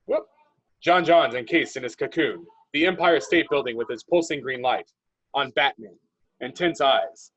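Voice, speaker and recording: A man with a husky voice narrating a script in a clipped, descriptive reading style. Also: mid-range voice, moderate pace, fairly bright tone, lo-fi sound.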